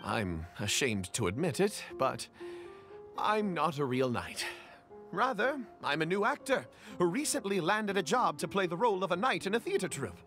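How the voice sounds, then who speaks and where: A hapless young stage knight from an anime-style game. His fairly high voice is earnest and theatrical, leaping around expressively. Faint music sits underneath. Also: very animated, normal speed. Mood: sheepish.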